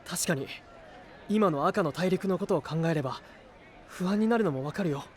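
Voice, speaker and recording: A man's voice: high voice, animated, normal speed, some chatter behind. A male actor playing an earnest, hot-blooded young knight in an anime audio drama, speaking with sincere, idealistic conviction. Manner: impassioned, sincere pleading.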